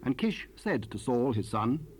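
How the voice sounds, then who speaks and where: A man narrating scripture from a script in a formal, measured way. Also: mid-range voice, big pitch swings. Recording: hissy 1970s cassette rip.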